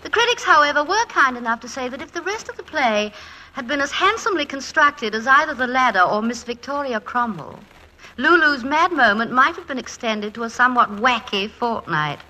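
An actress playing a poised, teasing, affectionate middle-aged wife and former stage star, in a playful, theatrical 1940s radio style. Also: female voice, high-pitched, expressive, a little fast, bright, forceful tone. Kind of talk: 1940s radio comedy-drama acting. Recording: noisy 1940s radio recording.

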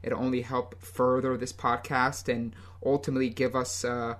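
A young man from the United States co-hosts a casual soccer podcast in a mid-range voice. He talks in a relaxed, loose way, often pausing on ums and restarting his sentences. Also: medium pitch. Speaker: podcast co-host.

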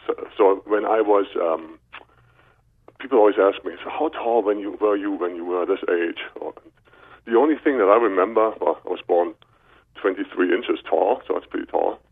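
Deep-voiced German man on the phone. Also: moderate pace, breathy edge. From Berlin, Germany. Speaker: guest, chiropractor. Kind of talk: casual personal storytelling in interview. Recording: narrow muffled telephone line.

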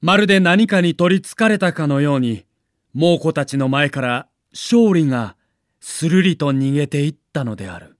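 A man narrating a scripted documentary in a dramatic, measured storytelling manner. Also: a little high, expressive, no echo.

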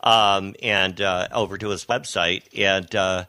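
A man's voice: low-pitched, fairly even intonation, measured pace, bright, forceful tone. A man hosting a local radio talk show, chatting casually and hesitating often with "uh". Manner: easygoing, hesitant with many "uh"s.

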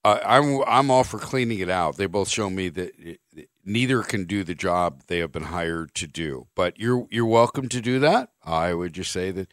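A middle-aged man hosting sports radio, low-voiced and blunt, with a dry edge of sarcasm as he reads out listener texts. He sits close to a studio mic in a dead, dry room. His delivery is opinionated and off the cuff. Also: animated, normal speed, fairly bright tone, no echo. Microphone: studio microphone.

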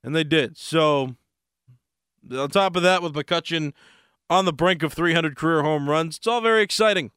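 An American man hosts sports talk radio in a fairly high, clean voice with a touch of hoarseness. He talks casually and takes his time, pausing mid-thought. The sound is close and dry. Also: a bit bright, no room sound. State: slightly hoarse.

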